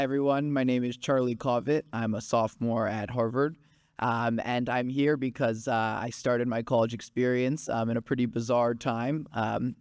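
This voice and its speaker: A young student on a conference panel introduces himself, pausing often on fillers. His voice is smooth and resonant at a middle pitch, recorded dry and close. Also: a little mellow, no room sound. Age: young adult. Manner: hesitant, many fillers. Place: conference stage.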